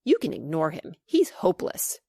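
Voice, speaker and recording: A woman's voice: deep voice, wildly swooping pitch, creaky, dark tone, slightly rough, dry recording, clean studio sound, exaggerated voice. A woman voicing a bossy older girl who puts on grown-up airs in a children's storybook. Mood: dismissive. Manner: brisk, dismissive, grown-up airs. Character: bossy older girl, self-assured and indignant.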